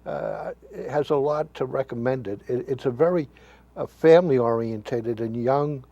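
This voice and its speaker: A man hosting a local interview show, asking questions in a halting way, stumbling over names and using many fillers. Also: mid-range voice, mellow, no echo, lo-fi sound.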